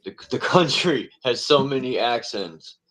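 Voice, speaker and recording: A man from Connecticut hosting a casual livestream panel, talking loosely with halting restarts. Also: mid-range voice, lively intonation, fairly bright tone, poor audio. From Connecticut, USA.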